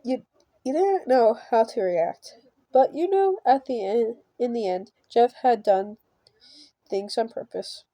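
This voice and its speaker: A giggly woman reading a visual novel aloud with casual commentary, halting and stumbling over words. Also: on the high side, animated, dark tone, dry recording, low-quality recording. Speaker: gaming channel host.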